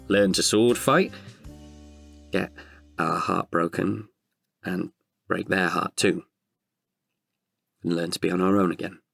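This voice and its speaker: A man's voice: sits low, gravelly, fairly bright tone, heavily acted. A man in his thirties performing an intimate, chatty monologue as an older, wiser self tenderly addressing his past self. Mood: candid, reflective. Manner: chatty, wry, heartfelt. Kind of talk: intimate confessional audio monologue.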